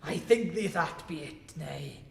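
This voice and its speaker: A gravelly, raving pirate captain. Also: male voice, fairly high pitch, expressive, a little slow, reverberant, long reverb tail. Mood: gruff muttering. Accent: stage pirate brogue. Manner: rambling, theatrical seafaring bluster. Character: raving, bellowing old pirate sea captain. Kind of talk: festive radio play acting.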